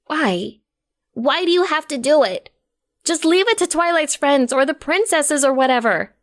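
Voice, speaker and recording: A woman's voice: high voice, expressive, normal speed, pitched above the performer's own voice. A woman voicing a distraught young pony mare who pleads insistently, close to hysterics. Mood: pleading. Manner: insistent, repetitive, tearful pleading.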